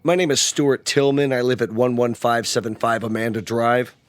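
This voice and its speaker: A man's voice: medium pitch, steady tone, normal speed, close to an everyday voice. An actor playing a practical, protective middle-aged father, speaking in a firm, matter-of-fact way in a radio drama. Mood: businesslike.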